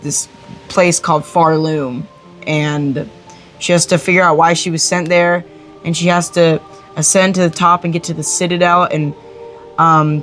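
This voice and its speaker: A man's voice: a little high, fairly bright tone. Young male game reviewer, casual, over music. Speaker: co-host of a game review show.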